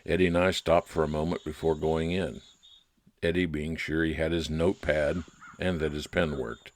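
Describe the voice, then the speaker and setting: A man playing a private investigator who narrates his case in old-time radio style, with deliberate dramatic pauses. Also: very deep voice, flat delivery, slight background noise. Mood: reflective. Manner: deliberate, dramatic pauses, stretched descriptive phrases.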